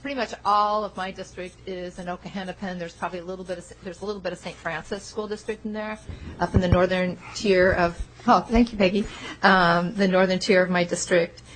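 A female state legislator introducing herself at a committee meeting, speaking loudly and projecting. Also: on the low side, steady tone, brisk, slight background noise, poor audio. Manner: loud, projecting voice.

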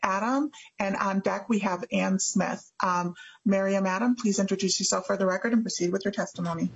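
A woman chairs the hearing and calls each witness in a brisk, procedural way. Her voice is husky and sounds distant and dull. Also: medium pitch, fairly even intonation. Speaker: committee chair. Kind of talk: hearing moderation.

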